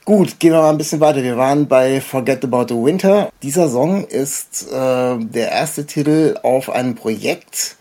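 A man hosting a casual interview, speaking in a hesitant, halting way as he puts his questions. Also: mid-range voice, mellow. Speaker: podcast host, interviewer.

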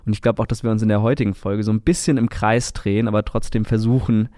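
A man's voice: on the low side, steady tone, fast talker, mellow, no echo, clean studio sound. A man co-hosting a podcast in a conversational style. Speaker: podcast co-host.

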